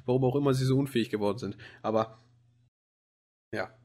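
A man's voice: medium pitch, brisk, a little mellow. Rambling man chatting on a podcast. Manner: rambling, many fillers and restarts. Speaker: podcast co-host. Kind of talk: casual chat about anime.

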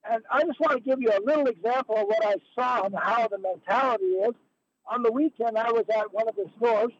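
A hoarse man calls in to a talk show, his voice strained up very high. He speaks in an indignant, hurried way. The phone line is dull and muffled, with a faint hiss behind him. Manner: indignant, rushed storytelling. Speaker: caller. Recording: muffled phone call with noise.

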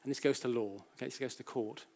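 Male British lecturer, mid-range voice, informal explainer style, halting delivery with self-corrections and rhetorical questions. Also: medium pitch, steady tone, warm tone. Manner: conversational, checks in with rhetorical questions. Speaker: academic lecturer. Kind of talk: public lecture, explanatory and anecdotal.

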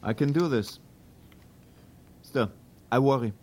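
A man's voice: mid-range voice, takes it slowly, darkish tone, breathy edge. A man playing a big, earnest Prussian immigrant plotter with a German accent in a historical drama. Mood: worried. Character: big Prussian immigrant conspirator, resolute yet uneasy. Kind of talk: historical audio drama acting.

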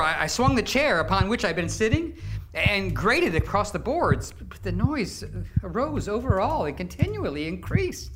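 An actor playing a nervous, boastful murderer confessing in a theatrical gothic horror reading, with halting, emphatic phrasing. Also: male voice, extremely high pitch, background noise, full character voice. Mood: frantic. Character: guilt-maddened male murderer confessing, nervous, boastful, frantic. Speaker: actor giving a dramatic reading.

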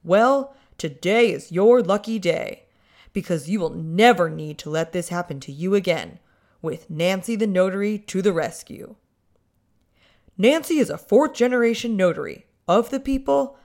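A woman voicing an overly upbeat female announcer in a mock radio advertisement, with exaggerated salesy enthusiasm. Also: mid-range voice.

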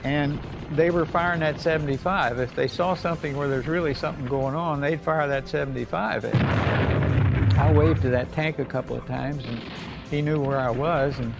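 Elderly American male veteran, oral-history storyteller, plain-spoken and folksy, with a gravelly edge over a music bed. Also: fairly high pitch, fairly even intonation, moderate pace, rough recording. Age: older. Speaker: World War II veteran recounting memories. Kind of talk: unscripted oral-history reminiscence.

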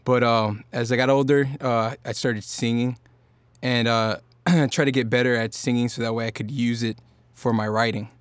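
Guy from virginia chatting on a podcast, smooth clear voice, dry close sound, lots of ums. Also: mid-range voice, normal speed, no room sound. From Norfolk, Virginia. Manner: relaxed, rambling with many fillers and restarts. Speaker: singer-songwriter guest. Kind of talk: casual podcast chat.

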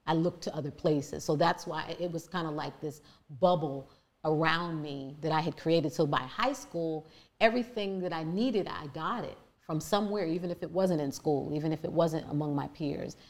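Deep-voiced woman telling her story emphatically. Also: normal speed. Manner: emphatic, animated, with frequent fillers and restarts. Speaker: guest. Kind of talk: candid personal storytelling.

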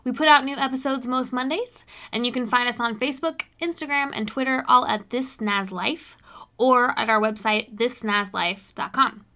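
A woman's voice: fairly high pitch, normal speed, pushed and bright. A woman hosting a podcast, reading an upbeat intro and asking interview questions. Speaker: podcast host.

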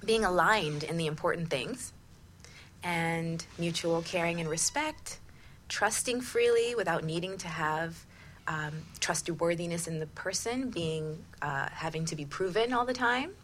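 A woman's voice: lowish voice, measured pace, fairly bright tone, a little hiss or hum. A woman hosting a conversational radio talk show, affirming and often checking in with "right?".

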